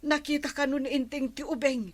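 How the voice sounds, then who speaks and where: A woman with an Ilocano accent acting as a village captain's calm, reassuring wife in a comedy drama. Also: sits high, moderate pace, a little hiss or hum, natural voice.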